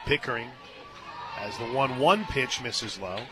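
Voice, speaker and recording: A man's voice: medium pitch, animated, unhurried, crowd noise, low-quality recording. An American man working as a radio play-by-play announcer, calling a live game with conversational, folksy asides and emphatic delivery. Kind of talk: live sports commentary with chatty asides. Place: stadium radio booth.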